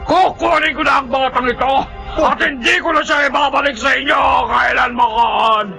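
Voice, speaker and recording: A man's voice: very high-pitched, fairly even intonation, normal speed, creaky, sharp tone, shouting, faint music behind, exaggerated voice. An actor playing a malicious evil spirit inside a young boy, swinging between tearful pleading and shrieked threats in a horror radio drama. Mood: enraged, defiant.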